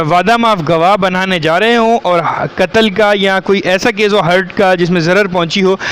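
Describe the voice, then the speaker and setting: A high-pitched male voice with a Pakistani accent delivers an explanatory legal lecture. He moves between reading out and explaining, at times halting from word to word. A faint vehicle-like noise sits behind the voice. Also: a bit bright. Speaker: law lecturer.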